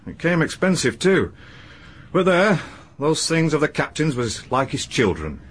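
An actor playing a loyal, deferential manservant who speaks in homely phrases in a radio drama. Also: male voice, on the high side, sing-song, unhurried, breathy edge, full character voice. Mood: respectful. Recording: archive radio drama with light hiss.

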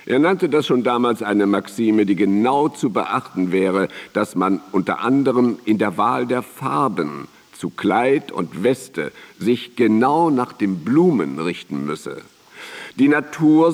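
An actor playing a reverent former pupil and biographer in a radio drama, reminiscing in measured, emphatic, formal phrases. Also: male voice, medium pitch, animated, big-room sound. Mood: reverent, fond.

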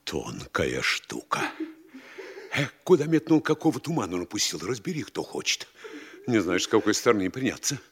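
An actor playing a fawning, panicky, scheming older town governor in a theatrical comedy radio play, obsequious, stammering and pleading. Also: male voice, fairly low pitch, big pitch swings, normal speed, fairly bright tone. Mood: crafty, muttering.

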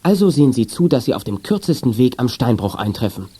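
A man's voice: mid-range voice, big pitch swings, fast talker, dark tone, slight background noise. A man narrating a children's audio drama from a script, as the storyteller.

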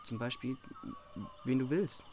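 An actor playing a courteous, lovestruck suitor in a radio play, with a hesitant, pleading manner. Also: male voice, mid-range voice, measured pace, very noisy, lightly acted. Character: lovestruck, courteous male suitor, self-declared pessimist. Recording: outdoor ambience with chirping insects.

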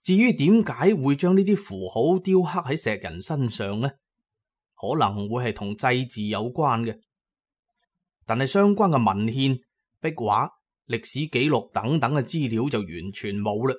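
A man's voice: medium pitch, very animated, measured pace. A male audiobook narrator with a Cantonese accent reads a scripted adventure tale. He tells it in the first person, as a storyteller would.

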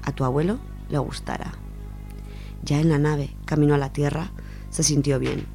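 A woman's voice: low voice, fairly even intonation, normal speed, dark tone. A woman with an accent from Spain reading a literary short story aloud as a radio storyteller. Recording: music behind the voice.

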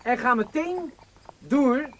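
An actor playing a strict, confident riding instructor in a radio drama, commanding and insistent. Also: male voice, very high-pitched, lively intonation, slow pace, fairly bright tone, a little hiss or hum, exaggerated voice. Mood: loud, urging. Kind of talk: Dutch radio drama acting.

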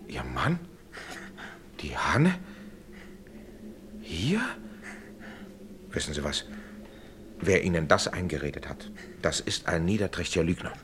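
A courteous older-brotherly gentleman with a very deep voice. He speaks in measured, reassuring and admonishing phrases. The radio play recording has a faint background noise. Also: sing-song, heavily acted. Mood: indignant. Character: courteous, paternal gentleman visiting a sickbed. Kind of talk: German radio play acting.

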